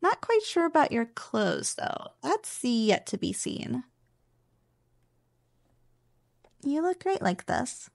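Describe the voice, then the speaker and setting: Woman playing a teasing high-voiced slime girl. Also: sing-song, a little slow, vocal fry, full character voice. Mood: playful, reassuring. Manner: teasing, coaxing, mock-dismissive. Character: teasing, playful, possessive slime girl roommate. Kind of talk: ASMR roleplay. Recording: echoey reverberant sound, loud music behind. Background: loud background music.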